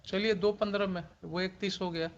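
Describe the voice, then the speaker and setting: Indian guy as a judge grilling someone in court, high voice, noisy dull audio. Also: fairly even intonation, moderate pace. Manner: authoritative, interrogating. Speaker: judge questioning a police officer. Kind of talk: courtroom questioning. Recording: muffled courtroom stream with clear noise.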